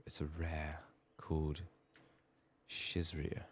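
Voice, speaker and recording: A man giving soft-spoken, partly whispered game playthrough commentary, murmuring his thoughts aloud in an unhurried, casual way, his voice strained from being sick. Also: a bass voice, completely flat delivery, slight background noise. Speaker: gaming video host.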